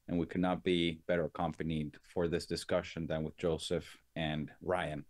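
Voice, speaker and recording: A man moderating a webinar panel, introducing speakers in a measured way with occasional fillers. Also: sits low, darkish tone, no echo, clean studio sound. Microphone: video call.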